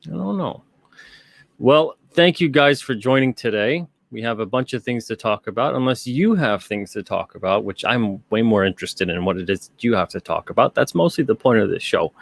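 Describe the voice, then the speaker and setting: A man's voice: mid-range voice, creaky, dry recording. Man hosting a casual live stream, dry close sound. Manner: rambling, conversational, repeats himself. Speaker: live-stream show host. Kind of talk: casual chat, reading out live chat comments.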